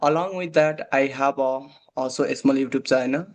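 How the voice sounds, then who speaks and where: Young man, hesitant guest, mid-pitched, full of "like" and "uh". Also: moderate pace. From Nepal. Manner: hesitant, many "like" and "uh" fillers. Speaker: guest, waiter. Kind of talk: casual conversation practice.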